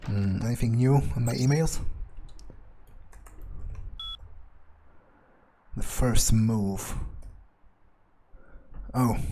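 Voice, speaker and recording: Man playing a husky, grumbling sardonic vampire. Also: mid-range voice, mellow. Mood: dismissive. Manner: grumbling, sarcastic, swears freely. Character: sardonic, grumbling young male vampire thinking aloud. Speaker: game player narrating in character. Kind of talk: in-character roleplay monologue, casual.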